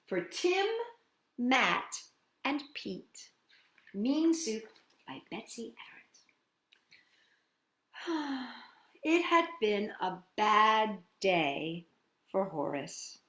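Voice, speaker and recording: Slow playful lady reading to kids, really animated, bit of a roomy echo. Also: mid-range voice. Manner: playful, expressive, drawn-out words with chatty asides. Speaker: children's storytime host. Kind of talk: animated picture-book read-aloud and children's singing.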